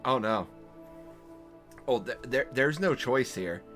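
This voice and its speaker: Slow-talking man in joking game commentary. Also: mid-range voice, animated, fairly bright tone, soft background music. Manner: incredulous, joking, halting while typing. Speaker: streamer playing an AI storybook game. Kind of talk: casual playthrough commentary.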